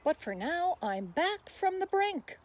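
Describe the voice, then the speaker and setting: A woman's voice: very high-pitched, big pitch swings, slow, pitched above the performer's own voice, heavily acted. A woman voicing a proud, rare woodpecker in a dramatic, rhyming storybook delivery. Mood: proud, earnest. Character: proud, rare ivory-billed woodpecker back from extinction.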